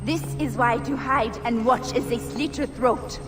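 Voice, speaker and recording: An actress playing a vengeful, devout priestess in a video game, deliberate and zealous, as if reciting incantations. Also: female voice, a little high, music in the background, natural voice. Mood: fervent. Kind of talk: dramatic game acting.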